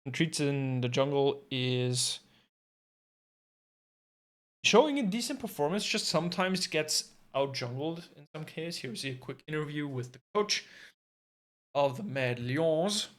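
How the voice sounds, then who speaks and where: Chatty man casting esports from home, slightly high. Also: lively intonation, measured pace, pauses often. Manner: thinks aloud, self-deprecating asides. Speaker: esports co-streaming caster. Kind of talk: casual live game commentary.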